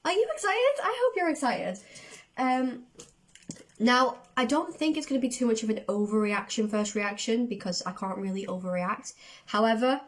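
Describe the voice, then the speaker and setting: A woman's voice: a little high, moderate pace. Chatty woman with a cold. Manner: excitable, self-described overdramatic. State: voice slightly going from a cold. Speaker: host of a music reaction channel. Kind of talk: casual chatty video reaction.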